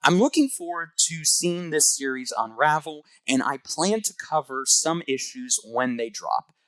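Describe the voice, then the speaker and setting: A man hosting a comic review, reading scripted narration in an earnest, expressive way on a close voice-over mic. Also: fairly high pitch, a little slow, dry recording.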